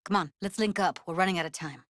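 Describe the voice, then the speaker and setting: A tough young cyberpunk techie played by a woman, with a slightly low voice in a dry, close recording. She talks in clipped street slang and swears freely, blunt on the surface and tender underneath, in a naturalistic video game style. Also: fast, a bit bright, dry recording, lightly acted. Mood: urgent, focused.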